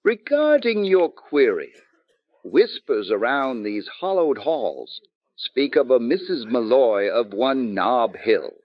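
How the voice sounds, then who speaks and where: Boozy philosopher, wildly swooping voice. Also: male voice, medium pitch, big pitch swings, slow, no room sound, dull audio. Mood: grandiloquent, musing. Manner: florid, rambling, grandiloquent. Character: boozy, philosophizing male ex-doctor barfly, theatrical and wry. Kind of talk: old-time radio comic-relief acting.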